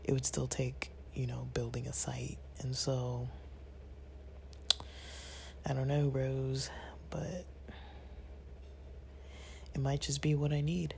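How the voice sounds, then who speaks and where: Male vlogger, raspy and gravelly, low-key whispery ramble, a bit under the weather. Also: level tone, slow pace, long pauses, dark tone, a little hiss or hum. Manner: rambling, many fillers, half-whispered. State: a bit unwell, rested. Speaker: channel host. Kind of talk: casual monologue to viewers. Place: at home.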